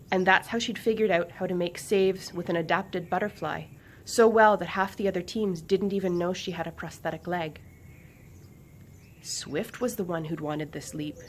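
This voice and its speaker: A woman reading a children's book aloud in an expressive, dramatic narrating style, stretching some words and hushing others. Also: lowish voice. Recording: faint background noise.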